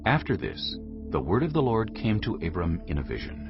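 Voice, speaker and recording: A man with an extremely deep voice narrates scripture as measured storytelling. His delivery is expressive and varied in pitch, over music on a slightly muffled recording. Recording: dull, band-limited stream with music. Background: clear music.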